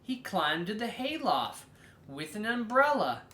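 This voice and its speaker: An expressive storybook reader hosting a read-aloud for children. The delivery is unhurried and theatrical, with a medium-pitched voice that swings widely and leans on key words. The room adds a clear echo. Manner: theatrical, slow and drawn-out with emphatic stresses.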